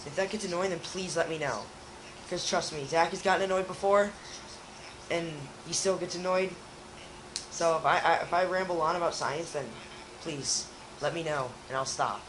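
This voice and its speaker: Raspy young man getting over a cold. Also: a little high, normal speed, background noise. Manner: rambling, restarts often. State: hoarse from a cold. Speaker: co-host. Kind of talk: casual chat.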